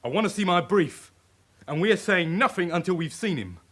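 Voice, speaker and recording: An actor playing a hot-headed young crook from a crime family in a radio drama, combative and blunt. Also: male voice, high-pitched, animated, a little fast, a bit bright. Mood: defiant. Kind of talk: naturalistic British radio drama acting.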